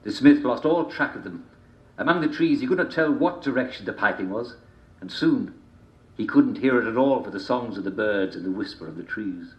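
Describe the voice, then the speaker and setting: A man telling a dark fairy tale in a theatrical, deliberate manner, with repeated phrases and dramatic pauses. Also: mid-range voice, expressive. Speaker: storyteller reading a folk tale. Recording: dull, roomy, echoing home recording.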